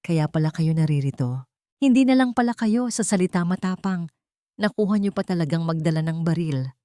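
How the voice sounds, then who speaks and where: A woman's voice: lowish voice, animated, fairly quick, warm tone, dry recording, studio quality, natural voice. A woman voicing a cold, vengeful, wealthy businesswoman in an audio drama, commanding and taunting. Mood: cold contempt. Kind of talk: dramatic audio story dialogue.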